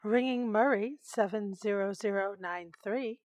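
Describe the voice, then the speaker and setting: An actress playing a courteous, unflappable telephone operator in an old-time radio play, speaking in detached set phrases. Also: female voice, medium pitch, unhurried, a little mellow, slight background noise, natural voice. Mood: courteous, brisk. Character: polite, unflappable female telephone switchboard operator.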